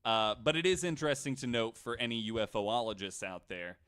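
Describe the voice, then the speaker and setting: A man's voice: medium pitch, fairly quick, fairly bright tone, smooth. An American man hosting a podcast, telling stories and bantering casually, often acting out imagined reactions in quotes. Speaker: podcast host.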